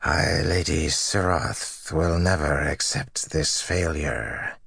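A man voicing a dying, defeated general in an audiobook, weary and grimly admiring, speaking in a halting, weakened way. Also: extremely low pitch, vocal fry, fairly bright tone, husky, no echo, full character voice. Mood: grim admiration. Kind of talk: audiobook character dialogue.

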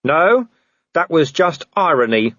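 A man voicing a gruff, defiant middle-aged policeman in an audiobook, with a sardonic, shouting manner. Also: sits high, expressive, moderate pace, no room sound, dull audio, pitched above the performer's own voice.

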